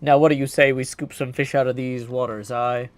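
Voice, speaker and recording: A man's voice: medium pitch, level tone, a little fast, a little hiss or hum, natural voice. A man voicing a hearty, seasoned hero's shade in a video game, chatty and old-fashioned in his phrasing. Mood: flustered, protesting.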